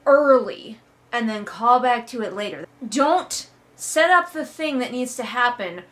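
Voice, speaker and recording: Woman, somewhat high-pitched, casual comedic commentary, exasperated, sarcastic, emphatic, conversational and sweary. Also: lively intonation, measured pace, fairly bright tone. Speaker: host of a book read-along.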